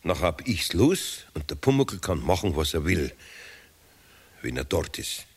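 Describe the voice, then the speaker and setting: Grummeliger alter bayerischer Handwerker, mit lebhafter Sprachmelodie. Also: male voice, deep voice, sing-song, husky, no room sound, full character voice. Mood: resigned, grumbling. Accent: Bavarian. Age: older. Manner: folksy, halting, grumbling. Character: grumbly, kindhearted old Bavarian craftsman, a bachelor. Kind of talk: 1970s radio play dialogue.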